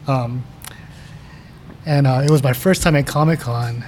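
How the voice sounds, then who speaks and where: A man in his forties talks in a loose, friendly way as a podcast guest, drifting into laughs and filler words. His voice sits in an ordinary middle pitch. Faint background noise from a cafe is heard. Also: a little slow. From San Diego, California. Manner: relaxed, laughs often, trails off with ums. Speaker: guest artist. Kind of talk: casual podcast chat. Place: comics shop cafe.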